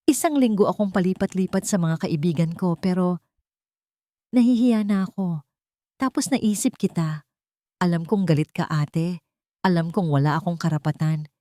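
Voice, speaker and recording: A woman voicing a hurt, guilt-ridden younger sister who confesses haltingly and pleadingly in an audio love-story drama. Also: lowish voice, warm tone, no room sound, studio quality, natural voice.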